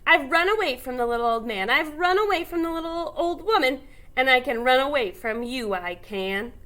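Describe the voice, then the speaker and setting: A woman's voice: high-pitched, lively intonation, moderate pace, sharp tone. A woman voicing a cheeky, boastful little gingerbread boy in a storybook, chanting his taunts in a sing-song way.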